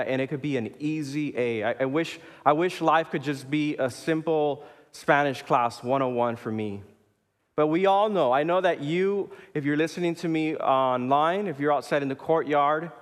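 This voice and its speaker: A man in his thirties, a pastor preaching a sermon in a relaxed, conversational way, often pausing with fillers and restarting his sentences. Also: on the high side. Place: church sanctuary. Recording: live church hall with long reverb.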